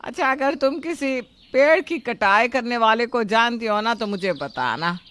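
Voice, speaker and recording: A woman playing an old, grumbling, sharp-tongued mother-in-law in a moral story, nagging and complaining. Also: a little high, animated, measured pace.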